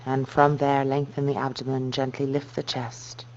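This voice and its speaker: A woman yoga teacher guiding a meditation in a slow, soft, calming and measured way. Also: extremely low pitch. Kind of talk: guided meditation instruction. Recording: dull muffled recording with noise and hum.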